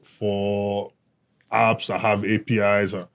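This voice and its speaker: A man's voice: fairly low pitch, level tone, slow. A man in a casual podcast chat, jokey and asking questions.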